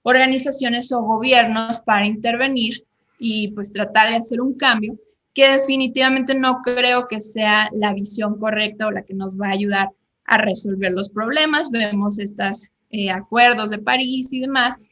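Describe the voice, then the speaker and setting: Woman, bright clear voice, slightly high, explanatory interview talk, eh fillers. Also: fairly even intonation, normal speed. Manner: explains with examples, many "eh" fillers. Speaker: guest on economics show. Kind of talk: conversational explanation.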